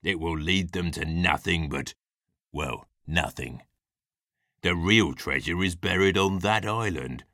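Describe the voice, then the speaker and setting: Deep, hearty storybook pirate captain, West Country burr. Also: male voice, slow, pushed and bright, dry recording, clean studio sound, heavily acted. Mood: reassuring, sly. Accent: stage West Country pirate. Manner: theatrical, salty, hearty. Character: gruff hearty old-salt pirate captain, a scheming grown man. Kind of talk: acted children's storybook dialogue.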